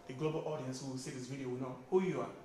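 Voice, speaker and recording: A man's voice: mid-range voice, fairly even intonation, racing, mellow, recorded from a distance. A man interviewing people at an event, asking friendly and upbeat questions. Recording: very echoey hall, voice from off-mic, noise behind.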